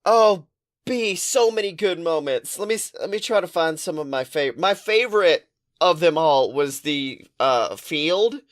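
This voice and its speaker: A man co-hosting a podcast in casual comedic chat, telling stories excitably and playfully, with some swearing. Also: fairly high pitch, unhurried. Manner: excitable, playful storytelling with profanity. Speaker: podcast co-host.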